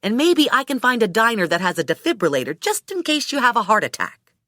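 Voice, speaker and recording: A woman's voice: a little high, very animated, a little fast, bright, forceful tone, no echo, studio quality, voice pushed up. A woman voicing a wry, teasing, sensible female character in audiobook dialogue. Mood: wry, placating. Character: wry, sensible woman calming her hot-headed male friend.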